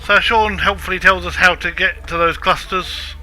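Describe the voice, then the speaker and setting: A man's voice: sits high, steady tone, normal speed, sharp tone, soft background music, low-quality recording. A man casually commenting on a game walkthrough, chatty and self-deprecating. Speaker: gameplay walkthrough narrator.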